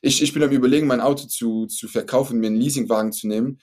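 Luxembourgish man with a mellow voice. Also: medium pitch, fairly even intonation. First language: French. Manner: halting, many fillers and restarts. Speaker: startup founder guest. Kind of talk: casual podcast interview.

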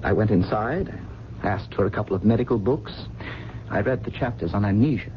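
An actor playing a bewildered, fearful, well-spoken amnesiac in a radio drama, talking haltingly and earnestly. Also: male voice, fairly low pitch, natural voice. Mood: earnest, searching. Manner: halting, earnest, articulate, repeats words. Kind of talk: 1970s American radio drama acting. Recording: muffled, noisy old radio broadcast recording.

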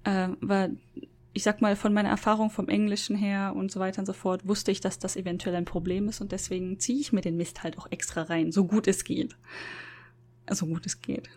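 Chatty woman, quick to laugh. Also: medium pitch, little pitch movement, a little mellow. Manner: chatty, laughs often, self-deprecating. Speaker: university lecturer in Japan. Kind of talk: casual podcast chat.

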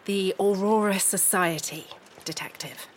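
A woman's voice: medium pitch, a little slow, a little hiss or hum, natural voice. An actress playing a refined, determined, anxious wife of a missing man in a 1930s noir audio drama, formal and articulate. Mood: guarded, impatient. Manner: formal, articulate, clipped politeness. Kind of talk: scripted period noir audio drama acting.

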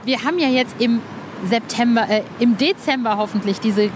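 A woman interviewing casually for a podcast, halting and repeating words with a keen fan's excitability. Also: on the high side. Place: in Berlin with street traffic audible. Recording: clear city traffic noise behind. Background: car traffic.

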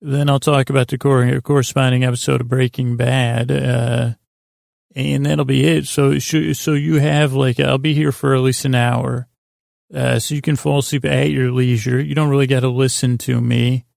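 A man with a creaky, gravelly voice hosting a bedtime podcast, rambling in a halting, meandering way with stammered tangents and pauses to lull listeners to sleep. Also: medium pitch, flat delivery, darkish tone, dry recording, clean studio sound.

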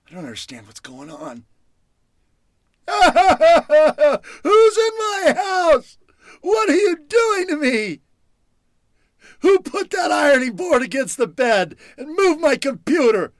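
A woman's voice: sits high, no echo, clean studio sound, exaggerated voice. An actress playing a frightened, groggy woman trapped at home, in old-time radio horror style, stammering and dramatic. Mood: confused, uneasy. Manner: stammering, breathless exclamations, dramatic.

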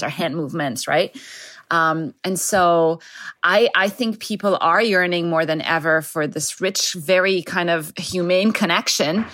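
A woman's voice: fairly low pitch, a bit bright, no room sound. A woman from Egypt, a scientist and founder, answering interview questions from home thoughtfully and with fillers.

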